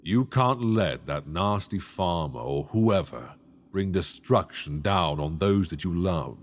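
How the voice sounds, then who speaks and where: A man voicing a gravelly ancient dragon. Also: low-pitched, lively intonation, heavily acted. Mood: coaxing menace. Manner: slow, intimate, coaxing and menacing. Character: ancient possessive male dragon, towering, seductive. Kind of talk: ASMR roleplay. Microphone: binaural mic.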